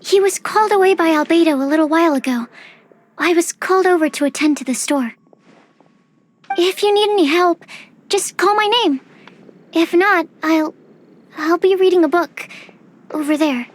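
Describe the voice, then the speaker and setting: A woman playing a shy, polite, bookish young female alchemist in an animated game, speaking earnestly and hesitantly. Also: extremely high pitch, moderate pace, long pauses. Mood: timid, polite.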